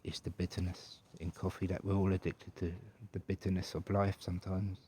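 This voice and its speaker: A man voicing a formal, courteous British butler with a posh English accent, polite and gently devoted. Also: low voice, level tone, fairly quick, a little hiss or hum, close to an everyday voice. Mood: tender, languid.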